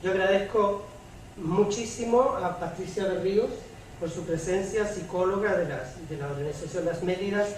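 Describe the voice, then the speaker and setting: A woman's voice: medium pitch, normal speed, darkish tone. A woman moderating a public debate, addressing the room in a courteous, formal way. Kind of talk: public event hosting, closing thanks. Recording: very echoey, distant, clearly noisy room.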